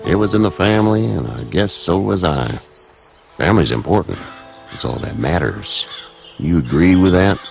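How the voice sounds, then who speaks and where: An actor playing a blunt, fatherly old farmer with a gravelly voice in a video game. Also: deep voice, animated, normal speed, soft background music. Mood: reflective. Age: older. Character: gruff, shrewd old farmer, blunt, fatherly, proud of family.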